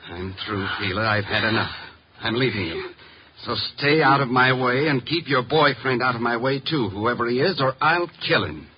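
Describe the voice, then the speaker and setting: An actor playing a jealous, bitter, hot-tempered painter with a blunt, belligerent manner in a 1950s American radio drama. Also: male voice, mid-range voice, lively intonation, moderate pace, close to an everyday voice. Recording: muffled, hissy 1950s radio recording.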